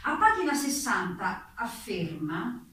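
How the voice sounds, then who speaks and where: A woman acting as a committee interrogator in a radio play, formal and probing, pressing the witness insistently. Her voice is fairly high and comes from a distance in a very echoey room. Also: sing-song, slow, a bit bright, heavily acted. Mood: formal, inquisitive. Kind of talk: stage-style radio drama reenacting a hearing.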